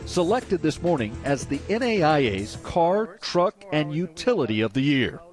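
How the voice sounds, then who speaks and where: A man's voice: on the high side, big pitch swings, normal speed. An American man narrating a scripted TV news package as a correspondent. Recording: narration over prominent music.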